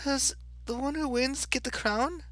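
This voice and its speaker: A man's voice: extremely high pitch, fairly even intonation, a little slow, a bit bright, no room sound, voice pushed up. A man voicing a curious, polite young girl in a storybook, speaking in an earnest, questioning way.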